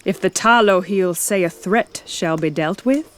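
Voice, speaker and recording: A woman playing a haughty elven knight commander in a fantasy audio drama, speaking in a measured, formal, imperious way. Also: mid-range voice, close to an everyday voice. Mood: cool, commanding.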